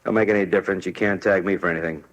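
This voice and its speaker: A cocky American crook in a 1950s radio crime drama, played by an actor. He is a man with a fairly low voice who talks quickly. His manner is smug, streetwise and defiant. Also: fairly even intonation, close to an everyday voice. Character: cocky, defiant male robbery suspect.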